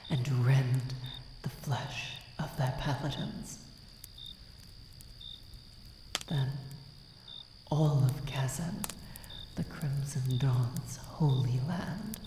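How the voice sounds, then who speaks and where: A woman playing a centuries-old vampire, anguished, devoted and vengeful, in a dramatic fantasy ASMR roleplay with halting, breathy delivery that often drops into whispers. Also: rumbling low, heavily acted. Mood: cold vengeance. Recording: echoing reverb with noisy cricket ambience. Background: night ambience with crickets and sound effects.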